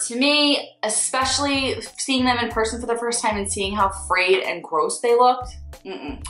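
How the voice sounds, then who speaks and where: A young woman with a Philadelphia accent vlogs her candid opinions, blunt and sarcastic with swearing. Her voice sits at an ordinary pitch for a woman. The room is echoey, with faint music playing behind her. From Northeast Philadelphia. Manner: blunt, sarcastic, swears freely, animated swings. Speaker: beauty vlogger, freelance makeup artist. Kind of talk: candid casual ranting vlog.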